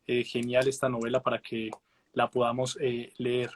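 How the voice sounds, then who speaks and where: A man's voice: mid-range voice, monotone, moderate pace. Hesitant man hosting an interview. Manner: hesitant, many "eh" fillers and tag questions. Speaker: host. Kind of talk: casual interview conversation.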